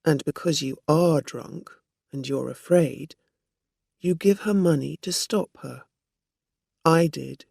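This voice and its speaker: Storybook woman, performed by a narrator: shrewd, assertive, measured delivery, dry recording. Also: mid-range voice, gravelly, darkish tone, breathy edge, no room sound, heavily acted. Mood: accusing, firm. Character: Dorothy Burns, assertive accusing woman, shrewd and controlled.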